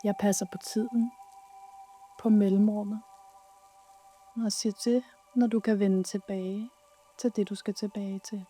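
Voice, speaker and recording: A woman leading a guided yoga nidra meditation in a soothing, soft, unhurried way with pauses. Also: medium pitch, little pitch movement, faint music behind.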